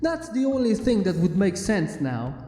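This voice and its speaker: High-pitched, mellow man reading a story. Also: very animated, moderate pace. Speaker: fan fiction narrator. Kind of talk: scripted first-person story narration. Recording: background music under the voice. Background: music.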